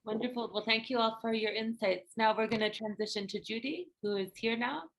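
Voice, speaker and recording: A woman's voice: a little high, a little fast, slight background noise, poor audio. A woman hosting an online seminar, thanking participants and introducing the next presenter. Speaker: session host. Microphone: video call.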